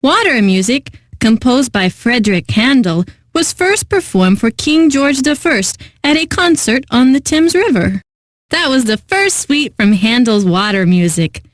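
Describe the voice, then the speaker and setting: High-voiced, pompous classical radio DJ. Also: female voice, sing-song, unhurried, dry recording, studio quality. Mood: poised, refined. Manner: pompous, theatrical, savoring each phrase. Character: refined, eccentric, theatrical female classical radio host. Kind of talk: scripted radio DJ patter for a video game.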